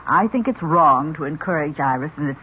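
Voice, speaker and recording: A woman's voice: deep voice, animated, fairly quick. An actress playing a stern, disapproving nun in charge of a girls' home, formal and severe, in a 1970s radio drama. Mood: stern disapproval. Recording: muffled old radio recording, noticeable hiss.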